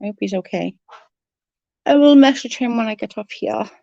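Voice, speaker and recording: A woman's voice: on the high side, normal speed, gravelly, dark tone, no echo, muffled recording. A woman with a blocked-up, snotty voice that is starting to go, chatting casually with viewers on her livestream and greeting them by name. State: congested, coughing, voice going. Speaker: livestream host. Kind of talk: casual chat with live viewers.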